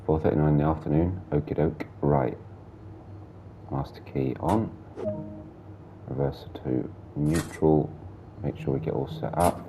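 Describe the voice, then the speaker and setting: A man chatting casually while driving a train in a simulator game, gravelly with a sore throat and blocked nose, muttering through the controls. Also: extremely low pitch, fairly even intonation, unhurried, long pauses, music in the background. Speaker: gameplay streamer. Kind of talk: casual train sim commentary.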